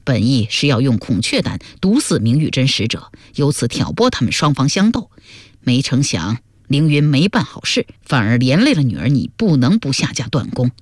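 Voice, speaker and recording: A man's voice: medium pitch, sing-song, normal speed, no room sound, studio quality. An actor playing a stern, scheming middle-aged king and father in a historical audio drama, coaxing and commanding. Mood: explaining.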